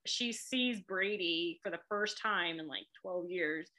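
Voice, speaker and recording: A woman's voice: lowish voice, slow pace, bright, forceful tone, slight background noise. A woman from New England, a chatty author guest talking casually with frequent laughs and fillers. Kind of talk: casual chat.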